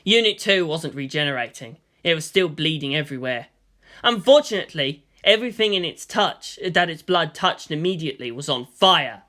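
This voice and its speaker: High, bright-voiced man narrating dramatically. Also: lively intonation, moderate pace. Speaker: story narrator. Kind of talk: dramatic audiobook narration of action fiction.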